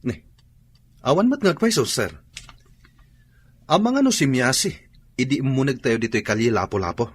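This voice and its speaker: Husky, animated, deferential soldier. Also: male voice, medium pitch, moderate pace, pauses often. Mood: dutiful. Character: obedient male soldier, deferential subordinate. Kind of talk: radio drama acting.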